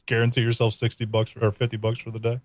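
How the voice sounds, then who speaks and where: A man's voice: medium pitch, completely flat delivery, fast, no echo. A man co-hosting a live stream, joking around, laughing often and doing silly voices. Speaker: streamer co-host. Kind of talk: casual stream chat over gameplay.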